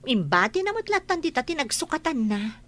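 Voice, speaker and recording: Actress as a bright, animated, sly Ilocano girlfriend. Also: high-pitched, fairly quick. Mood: flustered, evasive. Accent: Filipino, Ilocano. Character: sly, sweet-talking cheating live-in girlfriend. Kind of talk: Ilocano audio drama acting.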